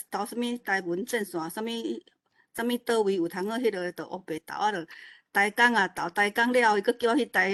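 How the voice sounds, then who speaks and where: A woman with a Taiwanese accent speaking informally and modestly in an online meeting, rambling and repeating words as she searches for them. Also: medium pitch. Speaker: new organiser of an online literature event. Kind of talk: informal unscripted self-introduction.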